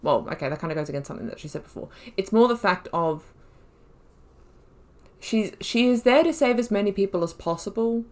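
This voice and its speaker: A woman's voice: medium pitch, lively intonation, a little fast. A woman casually commenting on a game playthrough, thinking aloud in a chatty way and laughing often. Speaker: gaming YouTuber.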